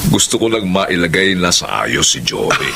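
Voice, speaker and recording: An animated, doting Filipino stepfather of sixty. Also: low voice, a little slow, gravelly, noisy background, heavily acted. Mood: eager, fatherly. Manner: fatherly, exclamatory, colloquial. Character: kindly, doting sixty-year-old stepfather, a little forgetful. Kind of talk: Filipino radio drama acting.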